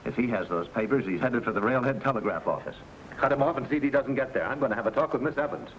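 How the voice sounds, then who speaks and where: Heavy noise surrounds an actor as a scheming boss in a vintage American western. The man talks quickly at a middling pitch, shrewd and businesslike, with a clipped delivery. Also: natural voice. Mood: urgent, scheming. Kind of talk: old western film dialogue acting. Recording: very noisy vintage western soundtrack.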